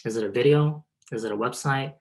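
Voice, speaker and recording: A man's voice: medium pitch, brisk. Male programmer leading a webinar demo. Mid-range voice, polite, step-by-step explanatory delivery. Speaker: programmer demonstrating a website. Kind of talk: software demo walkthrough, prepared explanation. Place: online video call.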